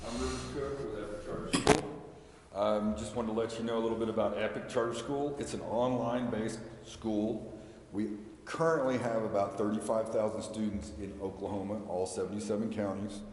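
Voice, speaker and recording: School representative, American male, very low mellow voice, presenting in a reverberant chamber. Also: steady tone, brisk. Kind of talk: public presentation to a council. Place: large indoor council chamber. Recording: echoey reverberant hall, background noise.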